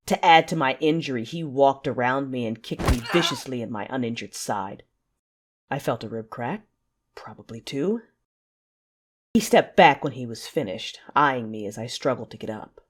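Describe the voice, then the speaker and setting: A woman playing a proud, vengeful warrior, telling the story in intense, measured first-person narration for an audio drama. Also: rumbling low, a little hiss or hum. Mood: strained, defiant. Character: proud, vengeful wounded warrior turning to the dark side.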